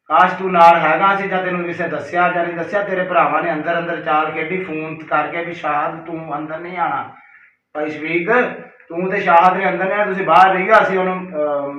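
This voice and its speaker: A man's voice: a little high, pushed and bright. A man delivering an aggrieved personal monologue, reproachful and emphatic, repeating himself as he addresses someone directly. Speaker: caller leaving a recorded personal message. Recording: roomy, distant, dull call recording.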